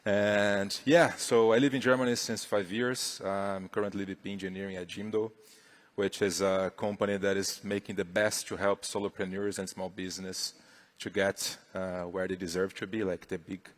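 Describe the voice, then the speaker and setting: A man's voice: sits low, flat delivery, moderate pace. Upbeat Brazilian man giving a talk. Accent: Brazilian Portuguese. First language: Portuguese. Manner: upbeat, chatty, filler-heavy. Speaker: conference speaker. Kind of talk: conference talk. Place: conference stage.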